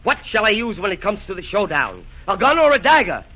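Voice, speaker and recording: A fretful, fussy fiend who fires off nervous questions in a 1940s radio comedy. His voice is high and lively, with a bright edge. The old broadcast sound is dull and muffled. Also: a little fast, heavily acted.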